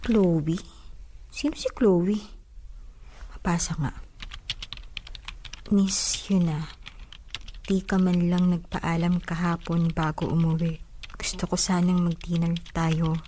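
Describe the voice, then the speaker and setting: A woman's voice: on the low side, flat delivery, slow pace, pauses often, dark tone, slight background noise. A Filipino-accented woman playing a jealous, easily offended young girlfriend in a radio drama, with a confrontational, sarcastic manner. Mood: suspicious. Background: background music.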